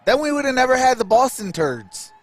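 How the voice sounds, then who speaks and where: A man's voice: extremely high pitch, big pitch swings, fairly quick, faint music behind. A man hosting a live sports stream, giving casual, opinionated commentary and answering viewer comments.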